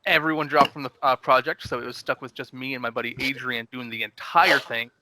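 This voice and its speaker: A man's voice: mid-range voice, steady tone, a little fast, very noisy. A man in his thirties, a filmmaker guest in a casual group chat, talking earnestly and encouragingly with many restarts. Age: 30s.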